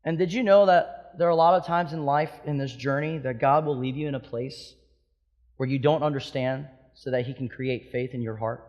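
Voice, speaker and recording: A pastor in his thirties from Hawaii, preaching a sermon with impassioned swings between loud emphatic peaks and soft hushed stretches. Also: mid-range voice, normal speed, dull audio. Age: 30s.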